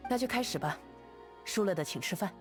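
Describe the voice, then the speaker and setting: An actress voicing a calm, composed young woman duelist in a game, speaking in a steady, measured, quietly confident way. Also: medium pitch, soft background music, lightly acted. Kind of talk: game character voice lines.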